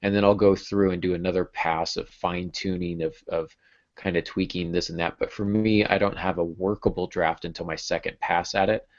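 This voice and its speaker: A middle-aged American man with a very low voice talks in an easygoing, joking podcast style. The sound is dry and a little dull, as on a video call through an earbud headset. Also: fairly even intonation, brisk, no echo. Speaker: podcast host and novelist. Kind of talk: casual conversational podcast chat. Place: small home room with bookshelf.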